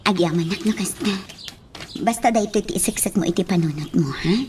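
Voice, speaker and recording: A woman playing a gentle, caring young village woman in a radio drama, with an Ilocano accent. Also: fairly low pitch, fast talker, slight background noise, lightly acted. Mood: tender, earnest.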